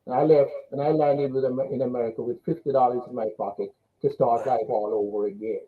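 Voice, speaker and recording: A man's voice: mid-range voice, steady tone, fairly quick, narrow, tinny audio. An older man from Jamaica with a Jamaican accent, telling his own story as a guest in an interview. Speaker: interview guest, business coach.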